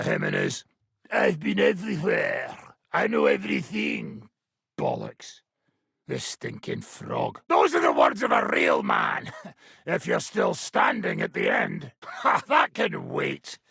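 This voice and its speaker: A rough, hard-drinking soldier from a video game, with an extremely raspy, gravel-filled voice. His pitch sits high for a man and swings wildly as he speaks, coarse and hot-tempered. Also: bright, forceful tone, dry recording, studio quality, heavily acted. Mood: scornful, then rowdy celebrating. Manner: coarse, swearing, blunt. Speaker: game character voice actor. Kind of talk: video game character dialogue.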